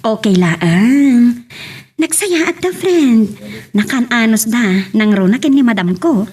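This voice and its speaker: A woman's voice: on the high side, expressive, slow pace, a little mellow. A woman acting an agreeable household-worker friend in an audio drama, with an Ilocano Filipino accent. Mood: content. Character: agreeable female friend employed as household help.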